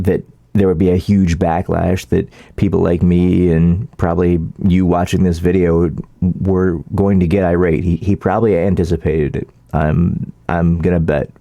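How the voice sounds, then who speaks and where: A man giving casual, opinionated political commentary as a vlogger, in an informal, halting, rambling way. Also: deep voice, vocal fry, warm tone, dry recording.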